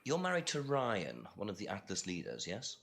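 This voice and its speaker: An actor playing a polite, probing police detective inspector in a filmed mystery game. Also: male voice, lowish voice, wildly swooping pitch, moderate pace, gravelly, fairly bright tone, heavily acted. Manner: courteous, inquisitive.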